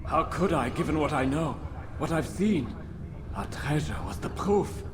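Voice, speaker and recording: A slow-spoken dying crusader. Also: male voice, a little high, animated, background noise. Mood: despairing. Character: dying disillusioned male crusader zealot, proud, bitter. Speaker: video game character. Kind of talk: dramatic video game cutscene acting.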